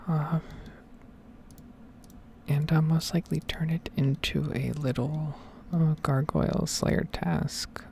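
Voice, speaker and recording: A woman whispering and speaking very softly in a hesitant, unhurried ASMR ramble, recorded at home on a desktop microphone. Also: deep voice, gravelly, a little hiss or hum. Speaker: streamer making ASMR gaming videos. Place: indoor home setup. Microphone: Blue Yeti desktop microphone.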